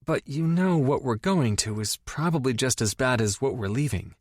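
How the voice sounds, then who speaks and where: A woman's voice: very deep voice, lively intonation, moderate pace, a little mellow, dry recording, clean studio sound, voice pushed up. A man voicing a reserved young woman who speaks tightly and keeps her composure in an audiobook. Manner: tight, composed, a little exasperated. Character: guarded, reserved young woman, easily embarrassed. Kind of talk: audiobook character voice.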